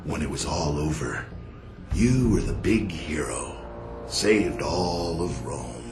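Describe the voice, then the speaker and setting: An actor playing a cold, manipulative middle-aged corporate villain in a video game, speaking in a measured, condescending way. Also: male voice, a bass voice, lively intonation, exaggerated voice. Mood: accusing. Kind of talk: dramatic video game dialogue. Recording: roomy echo, music behind.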